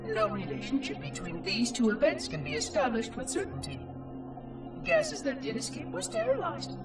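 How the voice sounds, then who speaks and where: A small floating machine caretaker from a video game, precise and strangely chipper. The voice sits high and leaps around, with game score playing clearly behind it. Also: male voice, huge pitch swings, moderate pace, recorded from a distance, music in the background, full character voice. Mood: solemn. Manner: precise, formal, oddly cheerful. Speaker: monitor of an installation.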